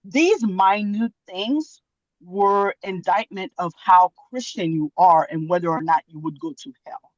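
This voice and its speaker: Halting woman from Haiti, dull call audio. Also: medium pitch, no echo. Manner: hesitant, many fillers and restarts. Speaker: audience member commenting. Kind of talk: conversational commentary on a video call. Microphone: video call.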